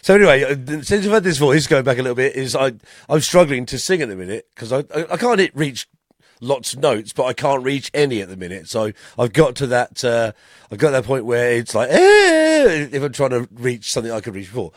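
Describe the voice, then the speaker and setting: A man with a slightly croaky, mid-pitched voice that rises and falls a lot as he talks. He chats with a laughing, self-mocking air into a close mic in a dry room. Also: very animated, fairly quick, dry recording. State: slightly croaky voice after a cough. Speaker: podcast co-host. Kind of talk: casual chat.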